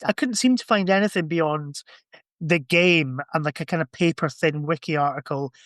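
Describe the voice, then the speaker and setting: Higher-voiced man chatting, rambling a bit. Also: normal speed, a bit bright, dry recording, clean studio sound. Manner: rambling, thinking aloud. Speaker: podcast guest or co-host. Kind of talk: casual chat.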